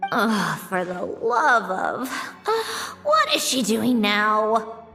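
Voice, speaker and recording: A woman voicing a tiny, cheerful, childlike game companion who is excitable and easily exasperated, with a chirpy delivery. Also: medium pitch, very slow, soft background music, heavily acted. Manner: chirpy, expressive. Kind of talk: video game voice acting.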